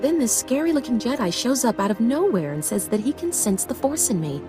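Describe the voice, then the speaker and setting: An actress playing a starstruck, chatty young apprentice in a video game, informal and wide-eyed. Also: female voice, a little high, big pitch swings, fairly quick. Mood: excited wonder. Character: eager, chatty, starstruck young Padawan girl. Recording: loud music behind.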